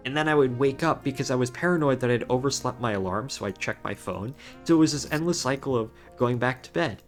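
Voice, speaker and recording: A young man in college, a streamer telling a rambling story with restarts in casual chat while gaming. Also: medium pitch. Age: young adult.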